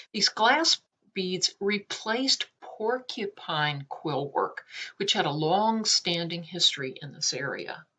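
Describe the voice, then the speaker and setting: A woman's voice: lowish voice, bright, forceful tone, poor audio. A woman teaching art history by reading an essay aloud. She reads carefully and unhurriedly, with small slips and self-corrections. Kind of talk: read-aloud lesson.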